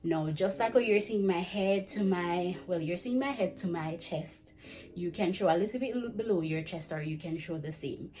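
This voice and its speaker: A young woman giving chatty, fast-paced teaching advice to camera in a small indoor room. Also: on the low side. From Jamaica. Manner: chatty, fast-talking, animated with hand gestures. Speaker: vlogger and ESL teacher. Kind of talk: casual advice vlog. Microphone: camera framing head to chest.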